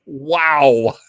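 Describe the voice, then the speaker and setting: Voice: medium pitch, fairly bright tone, slight background noise. A host chatting casually on a livestream in an effusive, playful and theatrical way. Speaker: podcast host.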